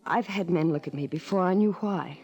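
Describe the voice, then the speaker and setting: An actress playing a proud, virtuous young mission worker, measured and earnest, in an old-time radio melodrama. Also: female voice, fairly low pitch, vocal fry, darkish tone, slightly rough, dull audio. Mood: bitter, wounded.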